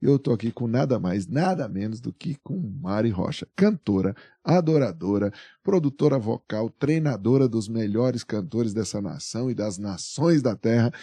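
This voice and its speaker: Male podcast host, playful teaser, mellow tone. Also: medium pitch, animated, moderate pace, hardly any pauses, breathy edge, no echo. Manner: joking, teasing interviewer. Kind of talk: casual interview chat.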